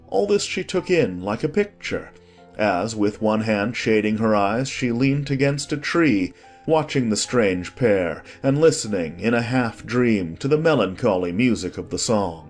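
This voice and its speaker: A man with a fairly low voice narrates a storybook. His delivery is scripted audiobook narration, with quiet music playing underneath.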